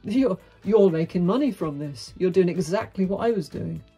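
A middle-aged Englishwoman with a deep, husky, mellow voice talks to camera in a small, dim indoor room. She is frank and outspoken, with a dry, wry edge. Faint music plays behind her. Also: lively intonation, normal speed. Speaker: vlogger. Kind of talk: candid monologue to camera.

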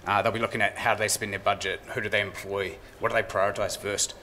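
Fast-talking New Zealand man at a podium. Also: on the low side, flat delivery, sharp tone, a little hiss or hum. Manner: brisk, many "uh" fillers, conversational. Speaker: keynote speaker. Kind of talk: conference keynote talk. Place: conference room at a podium. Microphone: handheld mic.

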